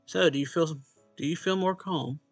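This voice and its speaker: A man's voice: a little high, normal speed, gravelly, dry recording. A man reading a visual novel's narration and dialogue aloud expressively, as a let's play host. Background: game music.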